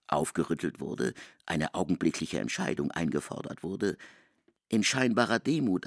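A man giving an expressive reading of literary narration in a radio drama. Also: deep voice, creaky, no echo, studio quality.

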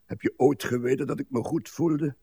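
An actor playing an ailing, blind old husband who grumbles at length in a morbid, crotchety way, in a literary radio play. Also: male voice, mid-range voice, normal speed, mellow, breathy edge, dry recording, clean studio sound. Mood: querulous. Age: older. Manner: morbid, querulous, long-winded grumbling with musing asides. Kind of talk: literary radio drama acting.

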